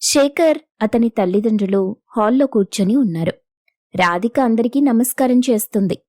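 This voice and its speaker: A woman's voice: a little high, lively intonation, moderate pace, dark tone, no echo, studio quality. A woman storyteller reading a scripted moral story as narrator.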